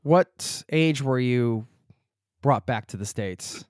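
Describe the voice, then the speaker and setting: A man's voice: medium pitch, slow, smooth, no echo, clean studio sound. A man hosting a travel podcast, reading a friendly intro and then chatting casually with his guest. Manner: upbeat, friendly, joking with guest. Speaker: podcast host.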